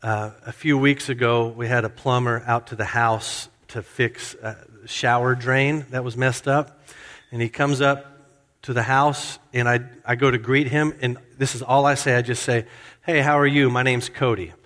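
Preacher with a long hall echo. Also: male voice, fairly even intonation, normal speed, fairly bright tone. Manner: expository preaching, acts out quoted lines with rising pitch. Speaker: pastor. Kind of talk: sermon. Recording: hall reverb.

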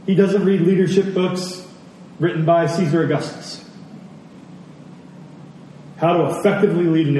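In a very reverberant hall, picked up from a distance, a man delivers a sermon. His voice is fairly high and earnest. He teaches conversationally, repeating key phrases and posing questions to his listeners. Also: warm tone. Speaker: preacher. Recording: very echoey hall, distant mic, faint noise.

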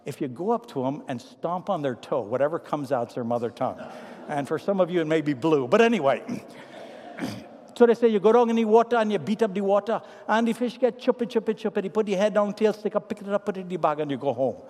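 Trinidadian pastor in a reverberant church. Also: male voice, sits high, quick pace. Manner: animated anecdotes, then quiet earnest appeals. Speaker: pastor to seniors. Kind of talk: sermon with humorous storytelling. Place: church sanctuary. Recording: long hall reverb.

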